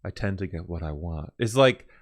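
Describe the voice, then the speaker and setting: A man's voice: deep voice, lively intonation, normal speed. A man hosting a gaming reaction, commenting casually and thinking aloud in questions as he watches.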